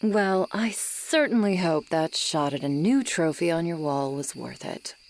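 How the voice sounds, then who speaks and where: A woman's voice: low-pitched, lively intonation. A woman voicing a bossy, exasperated but secretly caring female swamp monster in an ASMR audio roleplay. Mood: gruff, caring. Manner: exasperated, bossy, scolding, deliberate.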